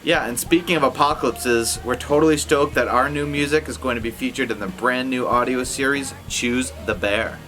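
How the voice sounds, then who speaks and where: A man's voice: medium pitch, fairly even intonation, normal speed, few pauses, pushed and bright. A man in a rock band giving a casual, enthusiastic scripted promo. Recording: faint music behind. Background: music.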